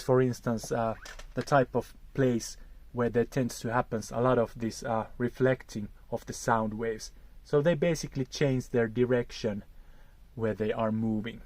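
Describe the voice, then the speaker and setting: A man's voice: medium pitch, no echo. A man with a Finnish accent teaching vocal technique, explaining acoustics in a careful, hesitant way. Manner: careful, hesitant, searching for words.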